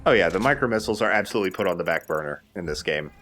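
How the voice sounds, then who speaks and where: A man's voice: deep voice, lively intonation, rapid-fire, fairly bright tone, slight background noise. A man giving casual, sweary and jokey commentary as he plays a video game. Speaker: let's play host, player. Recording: game music behind the voice. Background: video game music.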